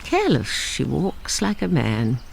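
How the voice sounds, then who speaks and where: A woman narrating a radio drama in a measured, hushed, fragmented storytelling style. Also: a bass voice, huge pitch swings, creaky, a little hiss or hum, full character voice, poor audio. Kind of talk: dramatic scripted present-tense narration. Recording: music and birdsong under the voice.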